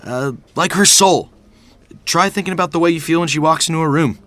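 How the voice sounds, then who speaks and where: An actor playing a crude but well-meaning young buddy in a comic audio drama, rambling, blunt and slangy. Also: male voice, fairly high pitch, a little fast, pushed and bright, no room sound. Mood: eager, rambling. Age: young adult. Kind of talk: audio drama romantic comedy acting.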